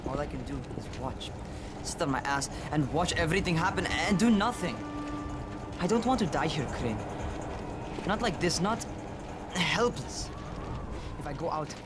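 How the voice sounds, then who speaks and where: A man's voice: a little high, lively intonation, normal speed, pauses often, over loud music, lightly acted. An actor playing a restless, frustrated young man, reckless and eager, in a video game. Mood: bitter, helpless. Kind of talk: naturalistic video game acting.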